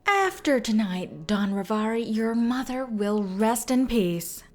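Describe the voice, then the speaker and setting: A woman's voice: fairly high pitch, lively intonation, slow pace, darkish tone. A woman voicing a tough, wary female exorcist in an audio drama, speaking bluntly and curtly. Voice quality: blunt, curt delivery. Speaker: voice actor in a fan audio drama.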